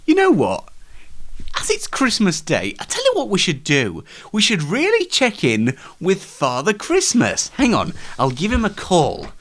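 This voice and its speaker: A man's voice: high voice, huge pitch swings, a little slow, fairly bright tone. A man hosting a light comedy show, chatting in a mock-serious way and often interrupting himself. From United Kingdom. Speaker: show host.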